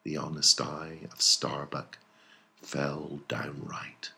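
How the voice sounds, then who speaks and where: A man's voice: extremely low pitch, level tone, slow pace, creaky, bright, forceful tone. A man narrating a literary novel as a dramatic audiobook reading.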